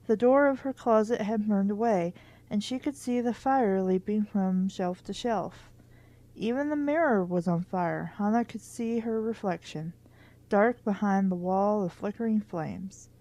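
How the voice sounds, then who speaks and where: A woman's voice: mid-range voice, warm tone. A woman reading a children's book aloud in an informal way, stumbling over words at times and adding wry comments of her own. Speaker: host of a book reading series.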